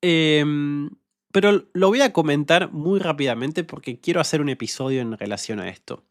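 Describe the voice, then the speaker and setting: A man's voice: on the high side, dry recording. Young argentine guy, rioplatense accent, r sounds kinda french, lots of ehs, dry close mic. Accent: neutral Rioplatense Argentine Spanish, uvular French-like R. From Patagonia, Argentina. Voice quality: uvular French-like R. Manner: relaxed and hesitant, many fillers, speaks slowly for learners. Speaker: podcast host and Spanish teacher. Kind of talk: casual solo podcast, reading listener comments.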